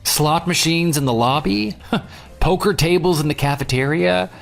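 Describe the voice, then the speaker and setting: An actor playing a vengeful jilted ex-fiancé in an audio drama, with a sarcastic, taunting, smug delivery. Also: male voice, fairly high pitch, moderate pace, vocal fry, soft background music. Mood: mocking. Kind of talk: dramatized phone dialogue.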